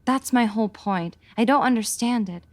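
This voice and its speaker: A woman's voice: a little high, moderate pace, lightly acted. An actress playing a worried, earnest showgirl in the melodramatic manner of 1940s radio noir.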